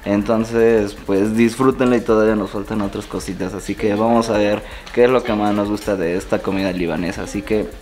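A man's voice: on the low side, fairly even intonation, fairly quick, hardly any pauses, darkish tone, slight background noise. A man with a Mexican Spanish accent hosting a casual food vlog in a teasing, chatty way. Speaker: host.

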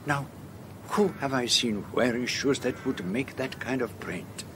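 A fussy, dignified elderly Belgian detective, as an actor plays him, speaking with a French accent in slow, formal, carefully measured sentences. The voice sits at a middling pitch and stays unhurried. This is a radio play, with faint noise underneath. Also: male voice, natural voice. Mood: methodical. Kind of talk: radio drama acting and narration.

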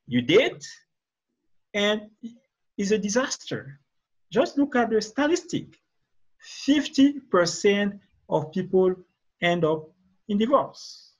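A man with a Cameroonian accent speaks as a guest expert in an interview. His voice is high for a man and husky, and the video call audio is dull and lo-fi. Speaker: guest author and relationship expert. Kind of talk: interview answers, explaining.

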